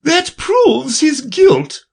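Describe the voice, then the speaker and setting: A man doing a shrill imperious queen. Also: huge pitch swings, drawn-out words, creaky, exaggerated voice. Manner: shrill, loud outbursts.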